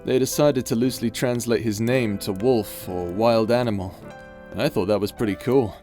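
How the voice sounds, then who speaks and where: A man playing a tender, weary space pirate in an intimate ASMR roleplay, speaking softly and hesitantly over music. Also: mid-range voice, steady tone, normal speed, natural voice. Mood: wistful, playful. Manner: soft, hesitant, confiding.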